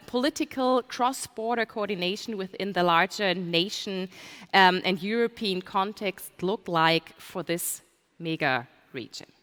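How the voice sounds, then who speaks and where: A woman's voice: mid-range voice, a bit bright. Woman moderator in an echoey hall. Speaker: conference moderator. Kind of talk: hosting a panel discussion.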